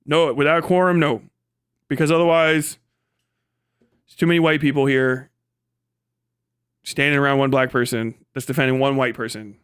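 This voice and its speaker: A man livestreaming a casual, rambling monologue, thinking out loud in an animated way and swearing freely. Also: mid-range voice, normal speed, long pauses, clear, resonant tone, no room sound, studio quality. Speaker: livestream host.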